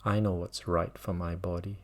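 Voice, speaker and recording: A man leading a guided mindfulness meditation as a teacher, speaking gently with long pauses between phrases. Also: low voice, level tone.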